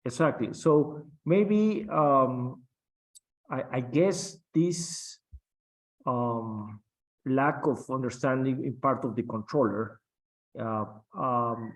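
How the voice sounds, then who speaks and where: Slow, halting Spanish-speaking man. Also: mid-range voice, rough recording. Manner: halting, many fillers and restarts. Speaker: guest captain. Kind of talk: interview on aviation.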